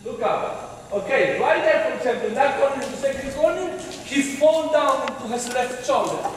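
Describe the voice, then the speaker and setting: Echoey far-off riding coach, high voice. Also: male voice, lively intonation, moderate pace, a little hiss or hum. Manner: short repeated commands, frequent "okay?", encouraging. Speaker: riding clinic instructor. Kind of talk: coaching a rider in a dressage lesson. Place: large indoor riding arena. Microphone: far camera. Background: hoofbeats.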